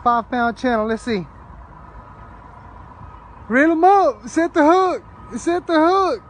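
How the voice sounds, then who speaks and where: A man giving casual excited commentary while landing a fish, filmed on a phone outdoors. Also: extremely high pitch, takes it slowly. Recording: outdoor phone clip with noise.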